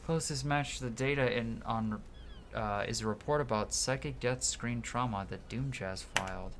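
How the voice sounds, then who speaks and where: A man giving casual, sweary live commentary on a video game, thinking out loud and reading game text aloud. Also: medium pitch, normal speed, slight background noise. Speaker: game streamer. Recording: game music behind. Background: video game music.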